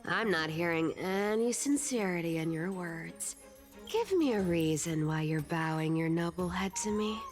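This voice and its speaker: A woman's voice: on the low side, measured pace, faint music behind, lightly acted. An actress voicing a sly, greedy female thief demigod in a video game, teasing and theatrical. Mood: sarcastic, probing. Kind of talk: video game character acting.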